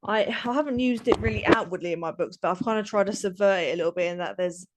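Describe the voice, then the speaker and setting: A woman's voice: mid-range voice, fast, very noisy. A woman in her thirties, a guest author talking in an interview, full of ums and false starts. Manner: hesitant, many ums and restarts. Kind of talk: casual interview.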